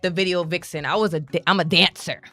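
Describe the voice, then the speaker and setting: A woman's voice: lowish voice, steady tone, quick pace, a bit bright. A woman hosting a live talk show, upbeat and chatty, with faint music behind her. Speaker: host. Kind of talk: casual live show chat.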